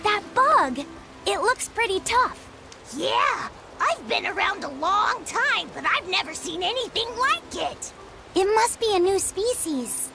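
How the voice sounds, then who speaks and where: A woman playing a gentle, earnest young boy in a video game, speaking softly and hesitantly. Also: extremely high pitch, very animated, measured pace, over music, heavily acted. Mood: curious. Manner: soft, hesitant, polite. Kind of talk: English video game voice acting.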